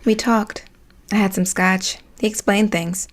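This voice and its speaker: An actress playing a candid working woman in a comic audio drama, telling her side casually with deadpan asides. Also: mid-range voice, a little slow, lightly acted. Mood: wry, concerned. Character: candid, wry working woman, a concerned neighbour.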